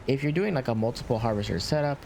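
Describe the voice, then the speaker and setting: A man's voice: medium pitch, expressive, fast, vocal fry, darkish tone, slight background noise. A man giving casual, opinionated commentary on a game, talking informally. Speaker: gaming video creator. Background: game music.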